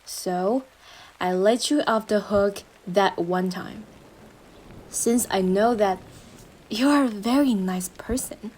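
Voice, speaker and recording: A woman's voice: medium pitch, darkish tone, a little hiss or hum, lightly acted, poor audio. A woman playing a young, obsessive yandere girlfriend in a roleplay monologue, syrupy and menacing, speaking intimately to her partner with a jealous intensity. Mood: sweetly menacing. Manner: slow, sugary endearments swinging into intense jealous outbursts. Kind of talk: dramatic roleplay monologue addressed to the listener.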